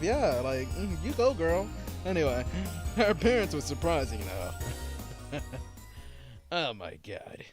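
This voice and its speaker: Male let's player, very lively pitch, reads story text with slangy casual asides, music behind. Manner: casual slangy asides between read passages. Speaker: video game let's player. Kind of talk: reading visual novel text aloud with casual commentary. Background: game music.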